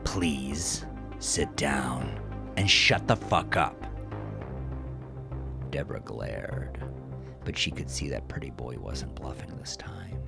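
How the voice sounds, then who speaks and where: A man narrating horror fiction in a gravelly voice, deliberate and dramatic, often dropping to a hush. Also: a bass voice. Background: music.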